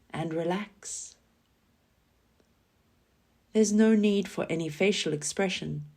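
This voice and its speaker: A woman leading a scripted guided relaxation meditation in a calm, soothing manner, giving gentle instructions with pauses. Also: fairly low pitch. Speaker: yoga nidra guide.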